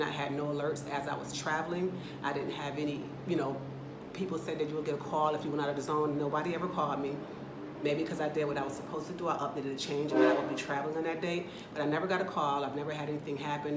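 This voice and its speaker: A woman's voice: low voice, fairly even intonation, fast, roomy sound, background noise. A woman from the Atlanta area with an American accent, chatting candidly in a casual travel vlog from her rental apartment. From United States, Atlanta area. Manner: chatty, candid, talks in loose run-on asides. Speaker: travel vlogger.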